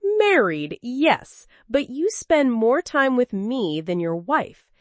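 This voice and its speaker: Woman doing a flirty mistress in a story, pitched way up, coaxing and demanding. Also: sing-song, slow pace, no echo, lo-fi sound.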